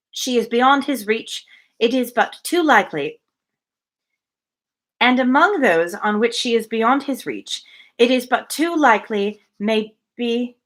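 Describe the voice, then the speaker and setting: A woman voicing a gentle, anxious married gentlewoman in a storybook reading, with careful, formal phrasing. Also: a little high. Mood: doubtful.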